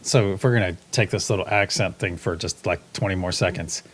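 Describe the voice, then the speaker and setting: A man's voice: sits low, fairly quick. A man in a casual podcast chat, playful and steering the conversation onto side topics.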